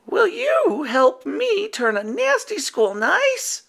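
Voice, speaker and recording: A kind young werewolf from a children's storybook, his voice pushed up high and thin, as a storyteller puts him on. He speaks gently and steadily to the smaller monsters, with a brave calm even though he is nervous underneath. The delivery swoops widely in pitch and takes its time. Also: slow, gravelly, full character voice. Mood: firm. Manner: gentle and reassuring. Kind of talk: storybook reading.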